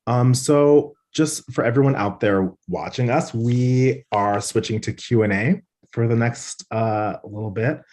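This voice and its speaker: A man's voice: on the low side, darkish tone. A man in his thirties hosting a Q&A on a video call, speaking off the cuff with appreciative, searching phrasing. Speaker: host, Q&A moderator. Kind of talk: spontaneous event moderation. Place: indoor room on a video call. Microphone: video call microphone.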